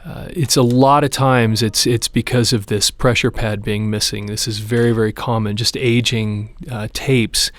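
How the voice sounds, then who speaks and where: A man's voice: lowish voice, steady tone, moderate pace, hardly any pauses, no echo. A man presenting a hands-on repair tutorial, explaining each step in an informal, plain-spoken way. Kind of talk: casual hands-on how-to demonstration.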